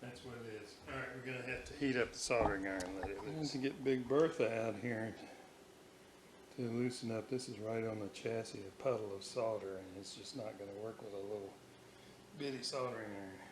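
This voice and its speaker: A man casually narrating his hands-on repair work at a workbench, half muttering to himself in halting fragments. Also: lowish voice, steady tone. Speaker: hobbyist radio restorer. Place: indoor workbench room. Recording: roomy, echoing, noisy workshop recording.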